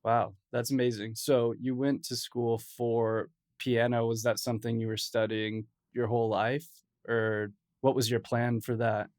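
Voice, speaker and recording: A man hosting a podcast interview, chatting in a relaxed, casual way with plenty of filler words. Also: medium pitch, a little slow. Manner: laid-back, casual, lots of "like" and "um". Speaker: podcast host.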